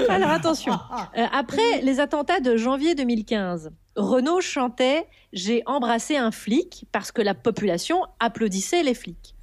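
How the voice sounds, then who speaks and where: A woman's voice: high-pitched, sing-song, a little slow. A woman hosting a radio talk show, teasing her guests and laughing often in a mock-schoolteacher tone. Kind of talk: playful hosting banter.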